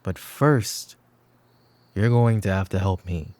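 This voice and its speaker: A man playing a brooding mafia boss in a roleplay ASMR audio drama, calm, protective and teasing, with a softly commanding way of talking. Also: low voice, slow pace, no room sound. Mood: firm, questioning. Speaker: voice actor.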